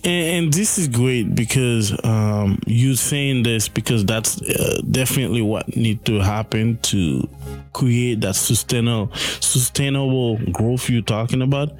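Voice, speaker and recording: Male podcast host, mid pitch, halting questions, faint music underneath. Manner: halting questions, frequent affirmations. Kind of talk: podcast interview.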